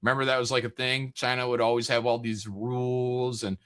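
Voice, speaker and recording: A man with a bright, sharp-edged voice at a middling pitch. He chats casually as a stream co-host, blunt and full of questions. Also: level tone, fairly quick, clear, resonant tone. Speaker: livestream co-host. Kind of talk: casual reaction chat.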